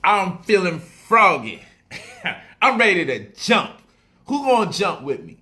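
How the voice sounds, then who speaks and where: A man's voice: high-pitched, big pitch swings, slow pace, sharp tone. A man casually explaining stock options on a livestream, repeating phrases for emphasis and switching into loose street slang.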